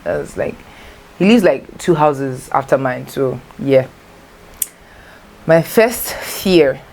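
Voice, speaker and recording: A woman's voice: extremely low pitch, expressive, slow, creaky, a little mellow. A young Nigerian woman with an accent, Igbo as her first language, chatting casually and rambling through a storytime tag and correcting herself as she goes. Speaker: vlogger. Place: home, natural light.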